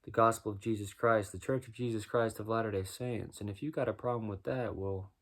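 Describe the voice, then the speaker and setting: A man with a deep voice doing a rhyming spoken-word freestyle, musing and preaching as he goes. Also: steady tone, brisk. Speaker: freestyle musician.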